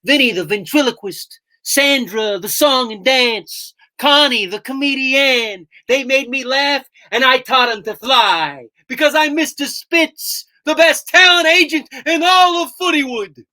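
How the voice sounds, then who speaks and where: A brash, hustling puppet talent agent in a puppet show. The voice is high and hard-edged, crabby and brusque, pitched like a showbiz barker. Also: female voice, a little slow, few pauses, bright, forceful tone. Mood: boastful. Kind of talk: puppet show character monologue.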